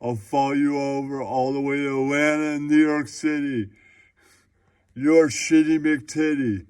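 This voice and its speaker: A man's voice: on the high side, steady tone, a little slow, darkish tone, clear, resonant tone, no room sound, clean studio sound, lightly acted. A man playing an angry heckler in a puppet comedy, berating a comedian with repeated profane insults. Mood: furious, abusive.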